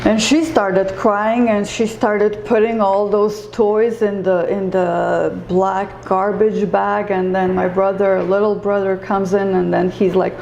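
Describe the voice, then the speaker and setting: Slow, gravelly woman in an echoey room. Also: medium pitch, level tone, a little mellow. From Croatia. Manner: halting and reflective, many fillers and restarts. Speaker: interview guest. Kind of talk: personal story in an interview. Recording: echoey room with faint ambient noise.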